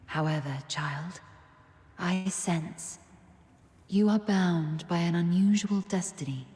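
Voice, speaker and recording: An actress voicing a serene, wise woman guide in a video game, speaking in a gentle, measured, philosophical manner. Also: lowish voice. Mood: solemn, mystical. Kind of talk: solemn video game dramatic monologue. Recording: echoey long reverb, background music.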